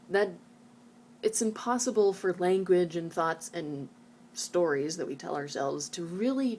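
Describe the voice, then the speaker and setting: Woman vlogger, mid-range and mellow, earnest and reflective delivery, some background noise. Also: mid-range voice. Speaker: vlogger sharing a book recommendation. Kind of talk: reading book passages aloud and informal reflective talk.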